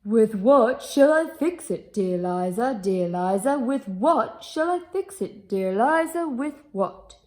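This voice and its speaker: A woman voicing a hapless, helpless man from a children's storybook, with plaintive, drawn-out sing-song questions. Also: on the high side, animated, darkish tone.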